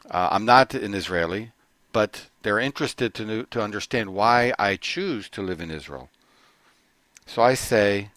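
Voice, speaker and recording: A middle-aged man giving an earnest personal testimony, often halting and restarting his phrases. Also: lowish voice, a bit bright, no echo. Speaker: corporate trainer.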